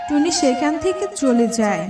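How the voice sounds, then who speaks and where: A woman's voice: sits high, animated, normal speed. A woman telling a scripted children's story as its narrator. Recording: roomy, distant sound under loud music.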